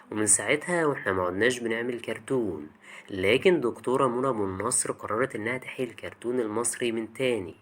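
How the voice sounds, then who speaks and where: A man's voice: medium pitch, a bit bright. A young man with an Egyptian Arabic accent narrates a scripted video essay in a colloquial, chatty way, addressing the listener directly.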